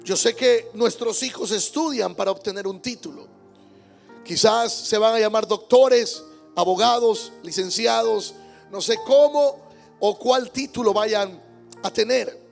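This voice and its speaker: Pastor guy preaching, high voice with a gravelly edge, soft music behind. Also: a little slow. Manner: emphatic preaching with repetition and amens. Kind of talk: Sunday sermon. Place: church service.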